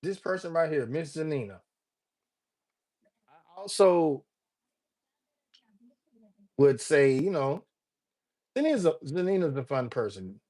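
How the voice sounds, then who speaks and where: A man's voice: on the high side, very animated, a little slow, long pauses, a little mellow. An older man hosting a casual livestream, rambling and joking as he greets viewers by name. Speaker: livestream host. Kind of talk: casual livestream chat reading viewer comments.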